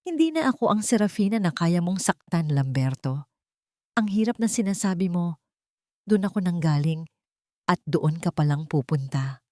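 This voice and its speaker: A woman voicing a resolute wronged wife, quietly defiant, steady and emotional. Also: lowish voice, moderate pace, dry recording, studio quality, lightly acted.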